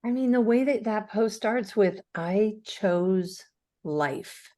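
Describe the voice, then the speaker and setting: A woman's voice: medium pitch, slow, warm tone. A woman co-hosting a podcast who jumps in with short, probing questions. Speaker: podcast co-host. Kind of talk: casual interview chat.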